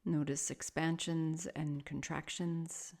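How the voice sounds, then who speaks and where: A woman with a somewhat low voice leads a guided meditation as a yoga teacher. Her delivery is soft and soothing. She speaks in measured phrases and leaves long pauses between them. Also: flat delivery.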